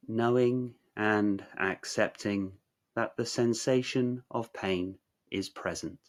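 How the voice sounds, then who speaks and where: A man narrating a guided meditation in a measured, soothing, instructional way, leaving long pauses. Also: fairly low pitch, fairly even intonation.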